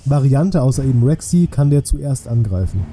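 A male streamer with a mid-pitched voice gives casual commentary on a game. He thinks aloud in colloquial, chatty phrasing as he plays. Music is clearly audible behind him. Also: lively intonation, normal speed. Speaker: live streamer hosting a gaming stream. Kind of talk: casual live game commentary with chat replies. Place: small indoor home streaming room.

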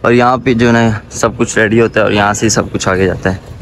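A man's voice: lowish voice, steady tone, brisk. A man in his twenties with a Pakistani accent, chatting informally to viewers in a casual walking-tour vlog. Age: 20s. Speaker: vlogger. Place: outdoors on busy city streets. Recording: clear outdoor street and traffic noise.

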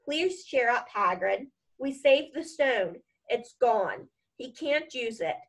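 A woman's voice: fairly high pitch, animated, slow, full character voice. A woman voicing a thoughtful, brave young schoolboy in a storybook, earnest and plain-spoken. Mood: earnest reassurance.

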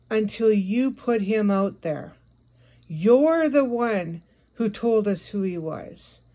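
A woman's voice: a little high, darkish tone. A woman giving a confrontational, scolding monologue addressed directly at someone, as an online video creator.

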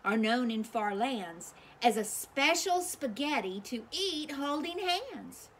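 A woman voicing a pompous storybook wise man who explains nonsense in a drawn-out, knowing way. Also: sits high, big pitch swings, fairly bright tone, slight background noise, voice pushed up, exaggerated voice.

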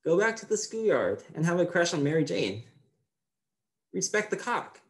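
A man's voice: high-pitched, sing-song, moderate pace. A man playing a bossy six-year-old boy lecturing playmates in an acting monologue, with an insistent, know-it-all manner. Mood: bossy, cocky.

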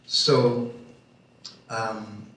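Kenyan-accented man, panel farmer; slow, deliberate delivery, lowish voice, roomy hall, picked up from a distance. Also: flat delivery, fairly bright tone. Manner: slow, thoughtful, halting. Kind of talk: panel discussion remarks. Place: large wooden barn hall, indoors. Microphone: handheld mic, far camera. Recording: echoey barn from far off.

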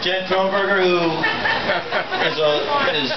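A man's voice: high-pitched, fairly even intonation, a little slow. A middle-aged man emceeing a live event in a large bowling center, introducing guests with upbeat joking asides and frequent "uh" fillers, on a handheld mic. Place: large indoor bowling center, standing on a platform before a crowd. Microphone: handheld mic heard through PA, filmed from the crowd. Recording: echoey PA sound from far off, dull. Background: loud crowd and venue noise.

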